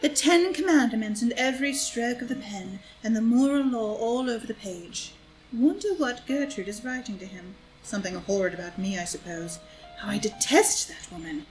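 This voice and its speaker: A scheming society villainess, acted by a woman, with a fairly high voice and a disdainful, theatrical air. She is elegant and arch, as in a drawing-room comedy, over light music. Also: lively intonation, normal speed, close to an everyday voice, rough recording. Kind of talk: stage comedy reading for audio drama.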